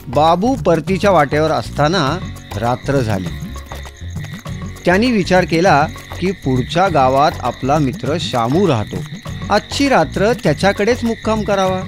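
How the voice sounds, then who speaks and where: Higher-pitched man narrating a fairy tale. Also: animated, a little slow, music in the background.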